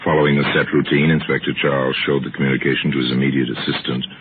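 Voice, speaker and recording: A man narrating an old-time radio mystery as host, measured and storytelling in his delivery. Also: rumbling low, flat delivery, slight background noise. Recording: tinny old radio broadcast.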